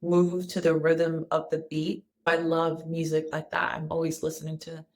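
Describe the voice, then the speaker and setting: A woman with a deep, low-pitched speaking voice talks straight to her viewers in a personal vlog. She sounds soft, calm and sincere, with the odd restart as she finds her words. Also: normal speed. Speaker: wellness vlogger. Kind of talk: casual personal vlog talk.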